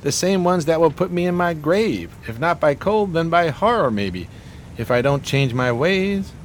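A man's voice: on the high side, big pitch swings, normal speed, a little hiss or hum. An actor playing an eloquent, melancholy homeless man of 1920s New York in florid radio-theater style. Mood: brooding, remorseful. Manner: florid, grandiloquent, theatrical phrasing.

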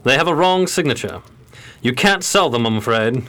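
An actor playing a suave, dapper 1920s gentleman gambler, polished and courteous, in a radio crime drama. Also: mid-range voice, animated, moderate pace, natural voice. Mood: earnest, scheming.

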